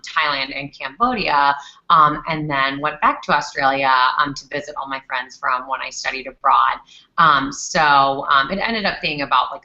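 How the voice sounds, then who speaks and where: A young woman talking casually on a Q&A panel, relaxed with many fillers. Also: very deep voice. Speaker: panelist, former volunteer teacher. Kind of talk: Q&A panel talk. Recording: dull lo-fi recording.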